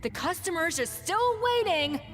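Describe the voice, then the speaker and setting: High-voiced, imperious woman head chef. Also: animated, unhurried, in a large hall, faint music behind. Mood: stern, instructing. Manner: scolding, imperious, clipped. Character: stern, exacting female head chef, young, sharp-tongued perfectionist. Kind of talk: video game voice acting.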